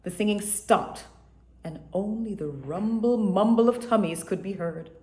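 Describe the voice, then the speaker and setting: A woman reading a children's picture book aloud with an expressive storytelling manner. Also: mid-range voice, slight background noise. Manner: expressive, slow, storytelling delivery. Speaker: storybook reader. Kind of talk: children's read-aloud narration. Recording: echoey room.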